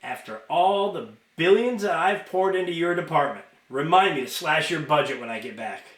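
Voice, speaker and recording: Guy reading a storybook as a cranky fairy police boss, curt n bossy, highish voice, echoey room. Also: animated, moderate pace. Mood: exasperated, commanding. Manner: curt, commanding, shouts into comms. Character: gruff, irritable male fairy police commander, barking orders. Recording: roomy, echoing home recording.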